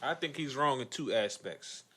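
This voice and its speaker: A middle-aged male panelist argues in a bright, punchy voice. His pitch swings widely as he makes blunt, profane points and cuts in. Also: animated, moderate pace, slightly rough. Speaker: podcast panelist. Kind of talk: casual debate.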